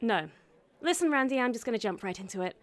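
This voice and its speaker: A woman as a high, chirpy, officious office manager. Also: animated, fast talker, lightly acted. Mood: prim, officious.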